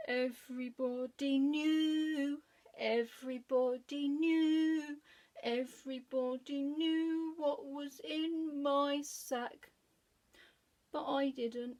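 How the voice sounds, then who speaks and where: A woman voicing a sly, scheming male fox in a children's storybook reading, speaking low and hushed. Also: a little slow, breathy edge. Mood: singing.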